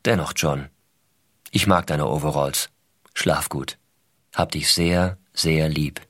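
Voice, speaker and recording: Astronaut father, low pitch, scripted letter narration, measured, intimate, explanatory. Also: steady tone, fairly bright tone, full character voice. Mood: tender. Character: male American astronaut father, explaining patiently and tenderly. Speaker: voice actor reading letters.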